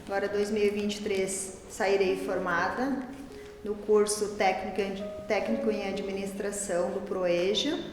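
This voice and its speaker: A woman's voice: medium pitch, level tone, unhurried. A woman in her forties from southern Brazil, with that region's accent, recounting stories in an interview. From Rio Grande do Sul, southern Brazil. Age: 40s. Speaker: adult student interviewee. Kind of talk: storytelling in interview. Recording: very echoey hall, music underneath.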